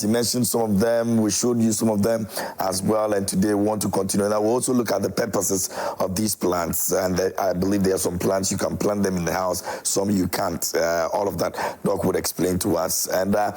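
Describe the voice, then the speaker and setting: A man's voice: lowish voice, fairly even intonation, moderate pace, darkish tone. A Ghanaian man hosting a morning TV talk show in a chatty manner, with many fillers, often echoing his guest's words. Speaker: TV show host.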